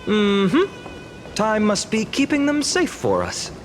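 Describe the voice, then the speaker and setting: A playful young fantasy villager with boyish spirit. The delivery is casual and exclamatory, very animated at a middling pitch, with music clearly behind it. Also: female voice, takes it slowly. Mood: playful musing. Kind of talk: animated game dialogue.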